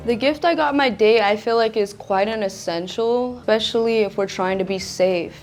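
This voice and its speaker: A young woman chatting casually as a dating contestant, blunt and expressive in the way she talks. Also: normal speed, soft background music. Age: young adult. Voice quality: deep voice for a woman. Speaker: blind date contestant. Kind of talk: casual chat.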